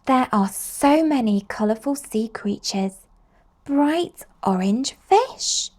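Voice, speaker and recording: Female children's storybook reader, higher voice, unhurried and very expressive. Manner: expressive, slow storytelling with drawn-out exclamations. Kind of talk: animated read-aloud of picture books for young children.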